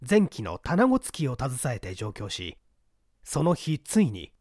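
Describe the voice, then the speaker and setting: A man reading a classic mystery story aloud as narrator, measured with dramatic asides. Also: medium pitch, wildly swooping pitch, dark tone, dry recording, clean studio sound. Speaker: reader.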